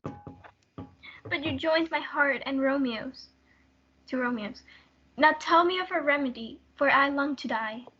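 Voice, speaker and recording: A woman's voice: sits high, takes it slowly, long pauses, dull audio. A young woman playing Juliet, a desperate, defiant teenage girl in love, in a stage-play reading. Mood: despairing.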